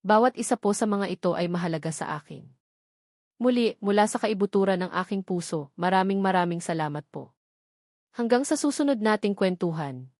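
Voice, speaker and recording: A woman tells a scripted story as a storyteller. Her delivery is measured and sentimental, with phrases set out like a storybook. The sound is very dry, free of any room echo. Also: medium pitch, expressive, a little mellow.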